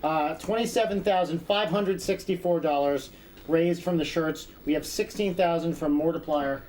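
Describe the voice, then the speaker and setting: A man hosting a stream, talking in a halting way full of fillers as he counts up donation totals. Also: fairly high pitch, a little mellow, a little hiss or hum, rough recording. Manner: halting, filler-heavy, adds up numbers aloud. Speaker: stream host tracking donations. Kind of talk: casual stream chat.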